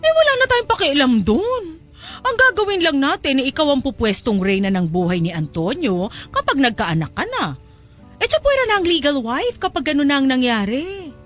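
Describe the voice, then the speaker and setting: A woman's voice: high-pitched, sing-song, moderate pace, faint music behind. An actress playing a pushy, scheming middle-aged mother in a Tagalog audio drama, coaxing and insistent.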